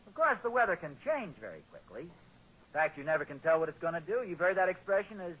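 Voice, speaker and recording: A man's voice: high-pitched, big pitch swings, fast talker, a bit bright, husky, exaggerated voice, rough recording. An actor playing a well-meaning, flustered father in 1940s radio comedy-drama, hedging and backpedaling in a homespun way. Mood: casual, doubtful. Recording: 1940s radio broadcast recording.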